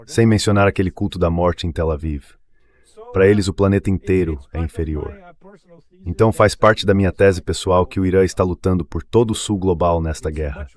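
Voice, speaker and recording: Brazilian male analyst for a dubbed interview, deep register. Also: a little fast, a little mellow. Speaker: political analyst guest, dubbed voice.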